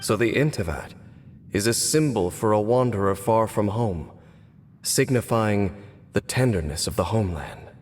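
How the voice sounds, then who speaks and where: A man playing a grave, mysterious swordsman in a video game, speaking in a measured, solemn way. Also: lowish voice, lively intonation, a little hiss or hum, close to an everyday voice. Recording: game audio with long reverb.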